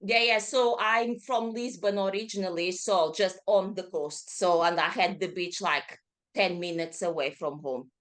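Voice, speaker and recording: A woman's voice: fairly low pitch, unhurried. A woman in her thirties with a Portuguese accent, a yoga teacher chatting in an interview, speaking with frequent fillers and self-corrections. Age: 30s. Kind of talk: casual interview chat.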